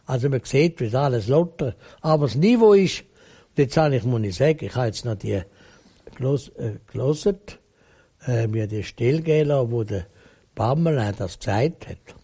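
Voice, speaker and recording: A man's voice: mid-range voice, animated, normal speed, dark tone, husky. A man with a Swiss German accent, talking bluntly and emphatically in a rambling interview answer. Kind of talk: opinionated conversational interview.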